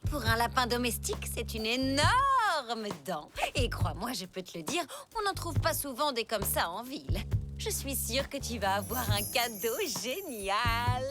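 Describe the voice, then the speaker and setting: Scheming female cartoon animal: bossy, condescending, fairly high, loud music behind. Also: very animated, unhurried. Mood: sly, persuasive. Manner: condescending, persuasive. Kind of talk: French cartoon dub acting.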